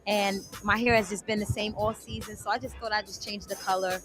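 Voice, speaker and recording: A woman's voice: mid-range voice, little pitch movement, fairly quick, music in the background. A woman contestant answering an on-camera interview in a self-assured, boastful way.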